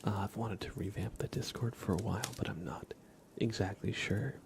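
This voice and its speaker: A man's voice: fairly low pitch, fairly even intonation, moderate pace, gravelly, heavy background noise. A man hosting an ASMR livestream in a whispered, casual and hesitant manner, chatting and commentating. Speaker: live streamer, host.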